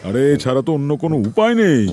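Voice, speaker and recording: A man's voice: a little high, big pitch swings, very fast. A man voicing a jovial, mocking doctor in a comic cartoon, with a theatrical, joking delivery. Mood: mock grave. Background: music.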